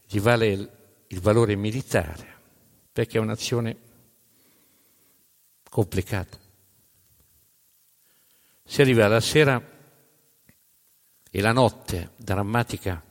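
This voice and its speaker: Low-voiced male storyteller in a reverberant hall. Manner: emphatic, short measured phrases with dramatic pauses. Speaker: narrator of a storytelling stage show. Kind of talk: dramatic narrative storytelling about history.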